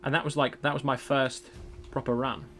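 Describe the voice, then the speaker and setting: A man streaming a game in casual commentary. He is chatty and self-deprecating, thinks aloud, and has a loud voice. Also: mid-range voice, level tone, moderate pace, faint music behind.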